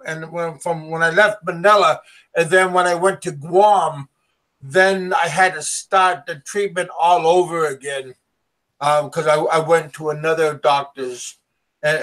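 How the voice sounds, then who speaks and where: A man recounting a story in casual chat, halting with many ums and restarts. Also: on the high side, a bit bright, poor audio. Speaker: guest.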